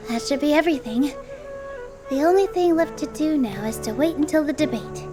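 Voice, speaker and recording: A gentle young heroine of a video game. Her voice is high and soft, earnest and thoughtful, with clear music playing underneath. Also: animated, normal speed. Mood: anxious, resolute. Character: gentle, earnest young woman, a theater dancer.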